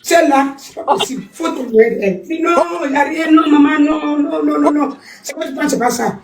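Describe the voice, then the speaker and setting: A woman's voice: sits high, brisk, very noisy. Older mother speaking with roomy echo. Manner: emphatic, repetitive, laughing through relief. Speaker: mother of the guest. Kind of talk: emotional call-in remarks.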